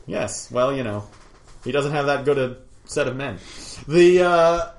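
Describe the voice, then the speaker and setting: A man's voice: medium pitch, a little slow, slight background noise. Rambling man recapping a game campaign. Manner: rambling, self-deprecating storyteller. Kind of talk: casual podcast chat.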